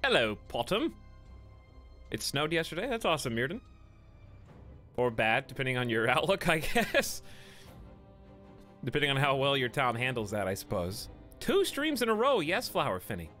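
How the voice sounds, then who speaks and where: A man's voice: medium pitch, big pitch swings, moderate pace, pauses often, bright, forceful tone. A man with a cold, chatting casually with viewers while live-streaming a game from home on a desk mic. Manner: chatty, greets viewers, jokes. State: has a cold. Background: faint game music.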